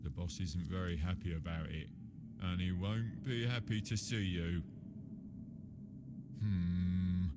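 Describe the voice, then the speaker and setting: An actor playing a sardonic, flippant servant of a feared boss in a video game, with wry, theatrical delivery. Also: male voice, deep voice, fairly even intonation, takes it slowly, pauses often, noisy background. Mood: exasperated, wary.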